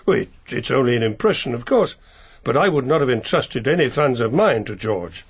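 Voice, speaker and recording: An actor playing a discreet family solicitor with an English accent, speaking in a measured, polite, reserved way. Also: male voice, mid-range voice, very animated, slightly rough. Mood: polite, careful. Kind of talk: radio mystery drama acting.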